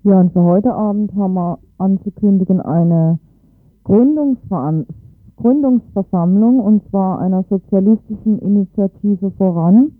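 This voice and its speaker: Man, high-pitched, radio news announcer, dry sound, thin band-limited recording. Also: measured pace, dry recording, phone-quality sound.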